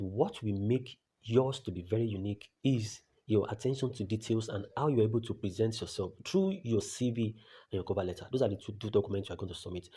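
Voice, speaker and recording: A man's voice: fairly low pitch, warm tone. A Nigerian man with a Nigerian accent giving a blunt, repetitive tutorial as an online career coach. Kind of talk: talking-head tutorial.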